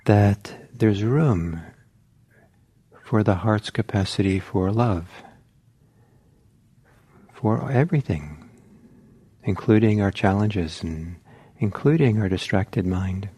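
Slow, low-voiced man guiding meditation. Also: breathy edge. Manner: gentle, halting, reflective with long pauses. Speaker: meditation teacher. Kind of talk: guided meditation talk.

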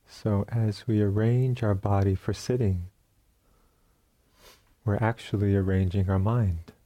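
A man teaching guided meditation in a very soft, dark, relaxed voice. He speaks on the low side and very slowly, leaving long pauses between short phrases.

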